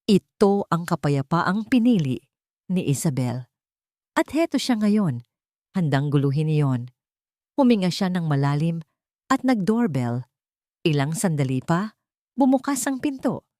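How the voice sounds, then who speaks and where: A woman with a Filipino accent narrates a scripted melodrama. Her voice sits a little low yet moves through a very animated range of pitch. The recording is dry and close, with little room sound. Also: no echo. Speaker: story narrator.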